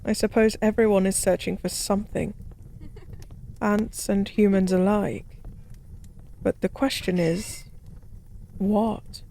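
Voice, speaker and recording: A woman playing a forgotten goddess as a tipsy pub stranger in an intimate audio roleplay, speaking softly in slow, wistful philosophical rambles. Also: medium pitch, fairly even intonation, slight background noise. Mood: musing. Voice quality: soft. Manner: slow, musing, rambling, teasingly cryptic.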